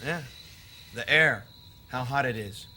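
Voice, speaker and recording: An actor playing a volatile, resentful drifter brother in a stage drama, with an American accent and a gruff, needling, profane manner. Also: male voice, medium pitch, slow, pushed and bright. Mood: idle, brooding. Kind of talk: naturalistic stage-play acting. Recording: noisy recording, chirping crickets behind.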